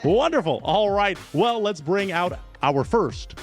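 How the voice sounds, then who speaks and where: A man's voice: high-pitched, animated, measured pace, soft background music. A man hosting a dating show, introducing it in a theatrical, showy announcer manner.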